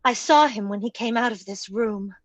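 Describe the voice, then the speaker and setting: An actress playing a nervous, timid younger sister in an old-time radio gothic drama, fretful and hesitant. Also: female voice, on the high side, moderate pace, no room sound, natural voice. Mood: hesitant, secretive.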